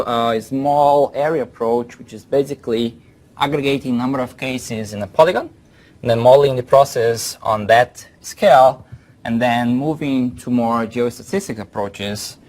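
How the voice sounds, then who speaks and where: A man's voice: medium pitch, darkish tone. A man from Brazil with a Brazilian Portuguese accent gives an informal academic presentation, often repeating words as he thinks. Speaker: guest speaker, professor. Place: lecture room.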